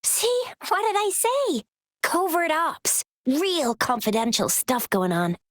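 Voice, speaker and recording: A brash young game companion with a high, bright voice, joking breezily at every turn. Her voice is dry and close with no room around it. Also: expressive, slow pace, no room sound, exaggerated voice. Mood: breezy. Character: bold, teasing young woman companion. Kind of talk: game cutscene voice acting.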